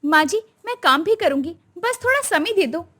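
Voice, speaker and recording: A young modern daughter-in-law from a family storybook drama, played by a woman. She sounds very high and lively, earnest, outspoken and caring. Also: moderate pace, full character voice. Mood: polite.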